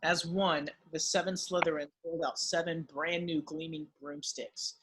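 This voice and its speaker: A man's voice: fairly high pitch, level tone, a little slow, background noise. A man narrating screenplay directions at an amateur table read.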